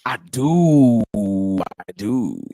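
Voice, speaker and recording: A man's voice: medium pitch, lively intonation, very slow, mellow, no room sound. A man in his twenties hosting a casual podcast chat, slangy and laid-back, laughing often. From Haiti. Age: 20s. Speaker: podcast host.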